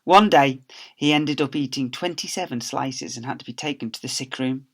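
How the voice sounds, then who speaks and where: A man reads a children's storybook aloud in a mid-range voice. He has a teacher's delivery, expressive and theatrical, with playful emphasis for a young audience. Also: medium pitch, normal speed.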